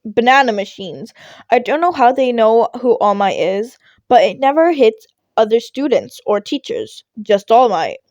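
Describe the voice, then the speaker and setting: A woman's voice: a little high, expressive, unhurried, darkish tone, no room sound, muffled recording. Reserved teen schoolboy, female reader: puzzled, tentative questions, audio storytelling, dry intimate sound. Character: reserved, puzzled teenage boy student. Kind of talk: fan fiction audio reading.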